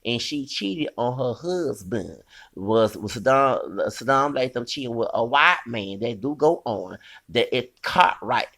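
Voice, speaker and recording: A man's voice: medium pitch, animated, a little slow, creaky, fairly bright tone. A man, ranting in a heated, rambling commentary and scolding his critics with curses. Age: 40s.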